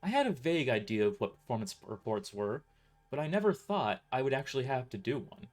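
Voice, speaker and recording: A man's voice: medium pitch, big pitch swings, fairly quick. A man narrating his own story in the first person as a scripted audio drama. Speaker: author and narrator.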